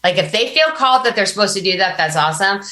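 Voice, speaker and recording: A woman's voice: mid-range voice, lively intonation, quick pace, bright, forceful tone, echoey room. An American woman speaking as a podcast guest, telling personal stories in an informal, emphatic conversational way. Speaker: podcast guest, business coach. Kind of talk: conversational interview, personal anecdotes.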